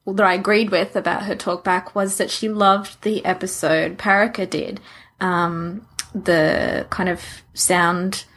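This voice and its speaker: Husky woman chatting as podcast co-host. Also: on the low side, steady tone, a little slow, fairly bright tone. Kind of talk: casual podcast chat.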